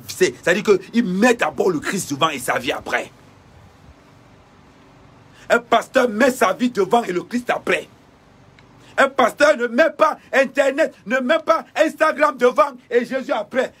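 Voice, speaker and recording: Husky high-voiced man ranting. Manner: repetitive preacher-like cadence with shouted bursts. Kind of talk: impassioned denunciation.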